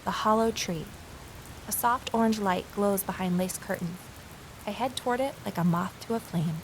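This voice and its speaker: A woman's voice: medium pitch, normal speed, lightly acted. Reflective woman investigator over noisy ambience, acted. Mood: observant, unsettled. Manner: reflective, conversational. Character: curious, determined middle-aged woman amateur investigator. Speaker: narrator and lead character. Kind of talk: first-person audio drama narration and dialogue.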